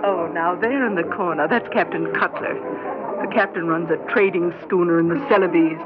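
An actress playing a flirtatious, gushing party hostess in a theatrical, breezy 1960s radio drama style. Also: female voice, on the low side, normal speed, natural voice. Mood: playful, bossy. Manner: theatrical, breezy, punctuated with laughter. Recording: dull 1960s radio recording, loud music.

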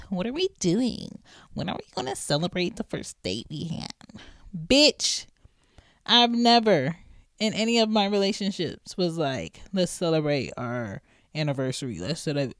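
A woman's voice: fairly low pitch, dry recording, clean studio sound. A woman in her thirties hosting a podcast, talking bluntly and emphatically with plenty of profanity. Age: 30s. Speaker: podcast host. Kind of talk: casual monologue.

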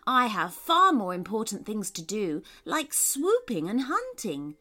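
A woman's voice: medium pitch, huge pitch swings, a little slow, sharp tone, full character voice. Lady doing a snooty busy owl from a kids storybook, pompous, voice goes up and down loads. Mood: dismissive. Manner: pompous, dismissive. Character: haughty, busy, self-important female owl.

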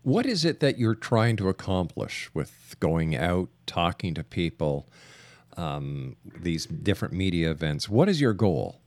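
Guy hosting a radio interview, lowish voice, asking questions, close dry studio sound. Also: big pitch swings, unhurried, darkish tone, dry recording.

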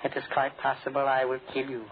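An actor playing a courteous but menacing doctor in 1940s radio style, speaking formally and with measured politeness. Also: male voice, mid-range voice, completely flat delivery, natural voice. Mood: calmly menacing. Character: cold, courteous doctor turned menacing killer. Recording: scratchy, tinny 1940s radio broadcast.

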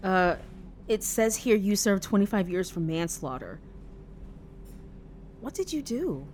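An actress playing a naive, earnest and oddly frank young person in an audio drama, guileless and matter-of-fact. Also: female voice, medium pitch, big pitch swings, measured pace, darkish tone, a little hiss or hum.

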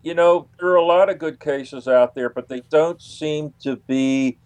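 An older man from the United States speaking haltingly as an unscripted interview guest. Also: a little high, darkish tone, smooth, no room sound. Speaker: interview guest, UFO investigator.

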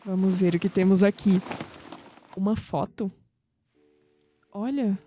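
A woman's voice: medium pitch, fairly even intonation, takes it slowly, warm tone, faint music behind, natural voice. An actress playing a curious young Brazilian woman in an audio drama. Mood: curious, intrigued. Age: young adult. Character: curious young woman uncovering family secrets, sceptical.

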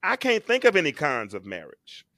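Animated male talk show host. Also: normal speed, no room sound, studio quality. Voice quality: bass in the voice. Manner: animated, repeats himself, restarts phrases. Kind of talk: casual chat.

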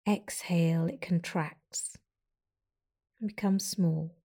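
A woman guiding a breathing meditation with slow, soft, soothing instructions and long pauses. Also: on the low side, steady tone. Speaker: meditation guide.

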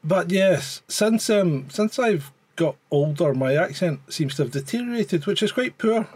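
A Scottish man with a husky edge and a fairly high voice co-hosts a casual live chat. He tells things in a rambling, sweary way with dry humour. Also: expressive, unhurried, a little mellow, no echo. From Scotland. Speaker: live stream co-host.

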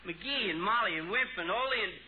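American small-town doctor, gruff and soft-hearted, swinging intonation, radio comedy, thin noisy vintage broadcast. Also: male voice, high voice, lively intonation, a little fast, phone-quality sound. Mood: touched, sheepish. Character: gruff, touched middle-aged town doctor. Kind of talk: old-time radio comedy acting.

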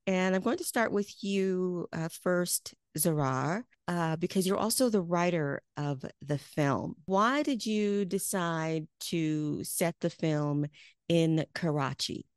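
A woman hosts a broadcast interview, posing measured, formal questions. Her voice is smooth and resonant and sits slightly low, recorded close and dry. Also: no room sound.

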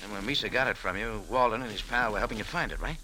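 An actor playing a tough, wry American bar owner in a clipped, sardonic 1940s radio drama style. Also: male voice, fairly low pitch, fast, sharp tone, a little hiss or hum, rough recording. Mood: dry, confident. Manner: clipped, hard-boiled, sardonic. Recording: vintage radio recording with music.

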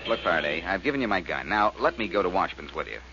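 An actor playing a brash, quick-witted male amateur sleuth in a 1940s American radio detective drama, cocky and commanding. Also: deep voice, sing-song, over music. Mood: urgent, insistent. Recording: muffled, noisy old radio recording.

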